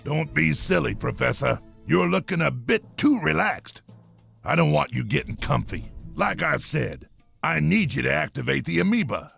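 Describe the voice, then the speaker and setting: An actor playing a blustering, bossy old army colonel with a gravelly voice. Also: male voice, a little high, big pitch swings, normal speed, fairly bright tone, faint music behind, exaggerated voice. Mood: gruff. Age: older. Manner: gruff, boastful and commanding.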